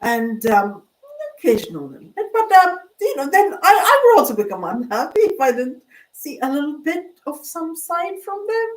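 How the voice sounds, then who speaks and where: High-voiced older woman, rambling hesitantly. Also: sing-song, moderate pace, recorded from a distance, rough recording. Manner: hesitant, full of fillers and restarts. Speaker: vlogger. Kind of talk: rambling casual monologue.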